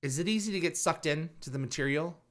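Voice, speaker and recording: A man's voice: a little high, quick pace, a bit bright. A man hosting an interview show, asking questions casually and often repeating words as he restarts his sentences. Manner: attentive, frequent backchannels, stammering restarts. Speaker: podcast interview host.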